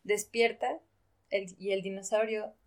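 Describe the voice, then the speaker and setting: A woman's voice: medium pitch, steady tone, unhurried. A woman co-hosting a casual discussion about literature, explaining things and picking her words carefully. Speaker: podcast co-host.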